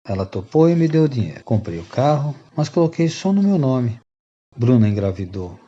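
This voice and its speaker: A man acts out a reformed Brazilian freeloader confessing to old friends in a radio play. His voice is dark and mellow. The recording is slightly dull and lo-fi. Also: medium pitch, sing-song, normal speed. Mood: confessional. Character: repentant rogue and former freeloader confessing to friends. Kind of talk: radio drama storytelling.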